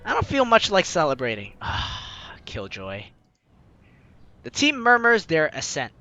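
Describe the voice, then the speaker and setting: Male let's-play host, high and lively, playful running commentary. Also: unhurried, muffled recording, soft background music. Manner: lively, laughs, breaks off to comment. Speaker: let's play host reading a visual novel. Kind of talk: playthrough reading with commentary.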